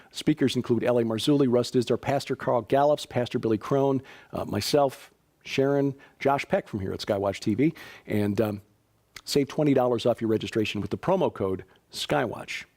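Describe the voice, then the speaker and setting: A man's voice: medium pitch, darkish tone. Chatty man hosting a news show. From Chicago, USA. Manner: conversational, with fillers and dry asides. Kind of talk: casual news commentary.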